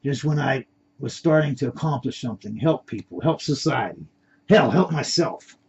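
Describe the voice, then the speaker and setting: A man's voice: medium pitch, lively intonation, moderate pace, vocal fry, darkish tone, slight background noise. A man voicing a weary, stubborn old male captive in an audio story, speaking plainly. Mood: resigned. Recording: dull lo-fi recording.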